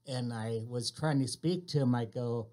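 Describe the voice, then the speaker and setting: A man hosting a casual radio chat, telling a relaxed anecdote. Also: medium pitch, moderate pace. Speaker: radio host.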